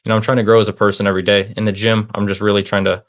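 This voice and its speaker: A young man of about twenty speaks as a guest in a relaxed chat. He rambles cheerfully, stacking fillers and restarting his sentences. His voice sits a little low. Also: rapid-fire. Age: young adult, about 20. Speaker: interview guest, student and online seller. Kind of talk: casual interview conversation.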